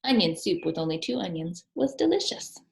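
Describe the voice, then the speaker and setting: A woman reads a children's picture book aloud in a voice a little lower than average. Her delivery is expressive, and she breaks from the story to ask questions and point out the pictures. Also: a little fast, gravelly, slight background noise. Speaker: storybook reader, teacher.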